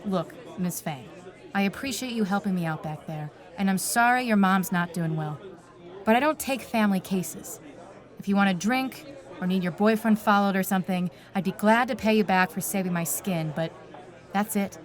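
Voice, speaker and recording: A hard-boiled actress's take on a female detective who drinks hard and talks blunt. She is wry and deadpan, with a middling pitch, in the manner of a noir radio play. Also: normal speed, a faint crowd, lightly acted. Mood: blunt, guarded. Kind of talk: noir audio drama acting.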